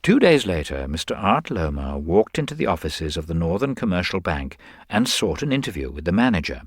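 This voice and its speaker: A man giving a dramatic, expressive narration of a crime story. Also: low-pitched, fairly quick, breathy edge, no echo, studio quality.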